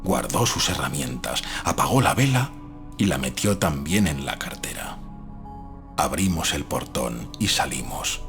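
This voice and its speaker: A man's voice: a bass voice, sing-song, measured pace. A man reading a gothic horror audiobook as a scripted, dramatic narration. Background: faint music.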